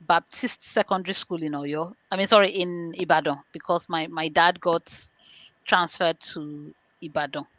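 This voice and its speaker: A Nigerian-accented woman with a fairly low voice, recorded close and dry. She speaks as an interview guest, explaining conversationally in plain, step-by-step terms. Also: steady tone, moderate pace, fairly bright tone, no echo, poor audio. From born in London, grew up in Nigeria. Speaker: interview guest, information governance specialist.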